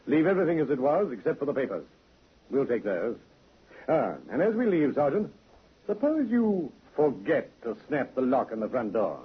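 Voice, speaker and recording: An actor playing a calm, shrewd police inspector with a dry, measured, commanding manner in a vintage radio drama. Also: male voice, mid-range voice, husky. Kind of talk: 1950s radio drama acting. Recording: tinny narrow vintage radio recording.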